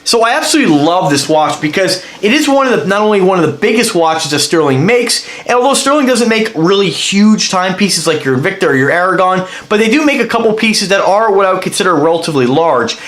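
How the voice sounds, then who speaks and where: A high-voiced man sounds stuffed up from a cold as he chats through a casual review, rambling and eager while he explains what he's holding. His voice bounces around a noticeably echoey room. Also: animated, fast talker, few pauses, a bit bright. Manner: rambling, enthusiastic, explains as he handles the item. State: congested with a cold. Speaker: watch reviewer.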